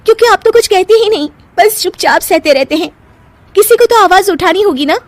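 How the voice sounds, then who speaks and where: A woman voicing a defiant, sharp-tongued young newlywed daughter-in-law in a cartoon family drama, feisty and argumentative. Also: high voice, animated, normal speed, no room sound, voice pushed up. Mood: resentful.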